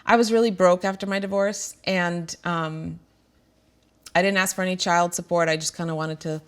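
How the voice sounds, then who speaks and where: A woman's voice: on the low side, steady tone, normal speed, smooth. Earnest woman sharing a candid personal story. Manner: earnest and emotional, candid, with frequent fillers. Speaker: show host. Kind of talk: candid personal talk to viewers.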